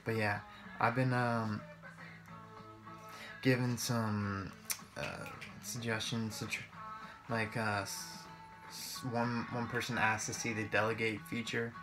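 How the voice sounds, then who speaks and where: A man's voice: on the low side, level tone, takes it slowly, long pauses. A young man doing casual gameplay commentary in a hesitant, rambling, informal way. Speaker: gaming video creator. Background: guitar music.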